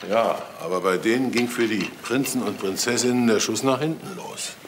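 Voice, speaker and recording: Man playing sardonic detective, lowish voice, ironic, mock-polite, crime drama, faint ambient noise. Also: moderate pace, lightly acted. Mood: dry. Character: wry, sardonic male police detective questioning people. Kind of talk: German TV crime drama acting.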